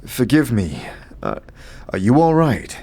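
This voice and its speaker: A man voicing a gentle, weary wanderer in an audio drama, hesitant and soft-spoken as he offers help. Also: mid-range voice, huge pitch swings, unhurried, slightly rough, exaggerated voice. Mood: concerned.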